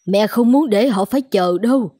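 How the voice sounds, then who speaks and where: A woman voicing a practical, encouraging older village mother in an animated fairy tale. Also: mid-range voice, moderate pace, dry recording, clean studio sound, close to an everyday voice. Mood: brisk, coaxing.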